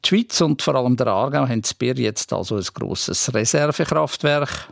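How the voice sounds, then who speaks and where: A man's voice: medium pitch, animated, moderate pace, gravelly, no room sound, studio quality. A man with a Swiss German accent presenting regional radio news from a script.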